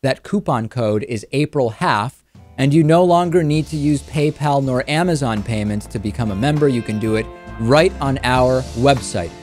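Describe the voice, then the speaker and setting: A man with an American accent hosting a talk show, giving articulate, measured political commentary and interviews in a conversational way. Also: medium pitch, a little mellow, soft background music.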